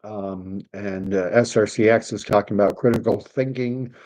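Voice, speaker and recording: A middle-aged man from Canada hosts a live stream, reading viewer comments aloud and drifting off topic. His voice sits on the low side and is tiring, hoarse from talking for an hour. He hesitates often, filling gaps with ums and uhs. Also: fairly even intonation, normal speed, darkish tone. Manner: rambling, hesitant, many fillers. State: losing his voice.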